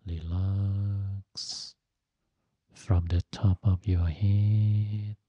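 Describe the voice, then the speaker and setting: Very slow, low-voiced man guiding meditation. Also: flat delivery, no echo, muffled recording. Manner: gentle, instructive, phrase by phrase with long pauses. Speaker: Buddhist monk and meditation teacher. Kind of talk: guided meditation.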